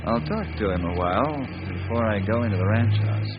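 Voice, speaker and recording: An actor playing a brave, devout, wry ranger hero in an old time radio western. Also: male voice, on the low side, expressive, fairly quick, natural voice. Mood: confident. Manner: wry, reassuring, homespun. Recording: muffled, noisy old radio recording.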